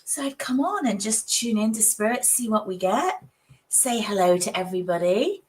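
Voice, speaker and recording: A woman who is husky from a cold, chatting casually with viewers as a psychic livestream host in her home office. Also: mid-range voice, expressive, moderate pace. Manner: chatty, warm greetings, frequent little laughs.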